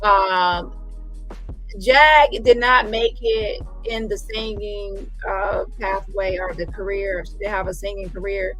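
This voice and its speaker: A woman with a bright, forward voice at medium pitch gives casual livestream commentary. She rambles emphatically and corrects herself as she goes, with faint music behind her. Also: fairly even intonation, slow, low-quality recording. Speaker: livestream host.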